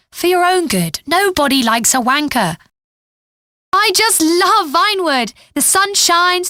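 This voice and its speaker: An actress playing a cheeky, sardonic British radio DJ, delivering breezy, tongue-in-cheek patter between pop songs in a video game. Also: female voice, very high voice, sharp tone, dry recording, studio quality, full character voice. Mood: exasperated, teasing, then wry, upbeat.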